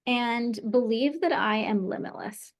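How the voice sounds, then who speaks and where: A woman's voice: a little high, measured pace. A woman practitioner guiding a client through a tapping session, encouraging her and leading phrases for her to repeat.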